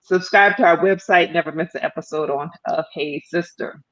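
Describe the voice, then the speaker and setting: A middle-aged woman co-hosting a live talk stream, animated and playful, laughing often. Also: fairly low pitch, moderate pace, dull audio. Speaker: co-host. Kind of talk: lively live-stream chat.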